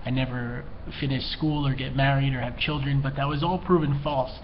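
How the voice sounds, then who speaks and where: A man with a fairly even, mid-pitched voice talks earnestly and from the heart, sounding emotional and a little tearful. He speaks straight to the viewer as an educator offering support. The recording is muffled and lo-fi, with faint noise behind him. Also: a little fast. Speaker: mental health educator, therapist and coach. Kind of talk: earnest personal talk to camera.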